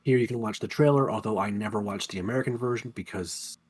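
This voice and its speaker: Male co-host, nasal and husky, low-ish voice, recovering from a lost voice, teasing banter. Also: fast talker. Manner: teasing, chatty. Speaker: podcast co-host. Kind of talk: casual game chat.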